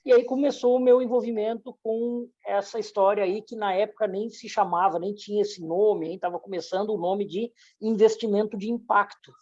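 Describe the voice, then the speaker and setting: A man's voice: sits high, steady tone, moderate pace, few pauses, darkish tone. A middle-aged man, telling his story casually and often stammering over short, repeated words. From southern Brazil. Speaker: interview guest, entrepreneur. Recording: slightly dull, muffled audio.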